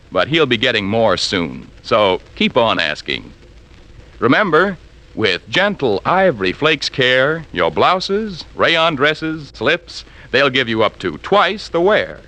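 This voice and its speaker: A male announcer gives a polished vintage radio sales pitch. His bright voice moves slowly and emphatically, with lively rises and falls. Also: poor audio. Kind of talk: 1940s radio advertisement pitch.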